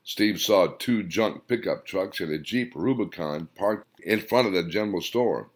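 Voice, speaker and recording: A man narrating his own novel as an audiobook, with an expressive, dramatic reading. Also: sits low, measured pace. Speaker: author and narrator.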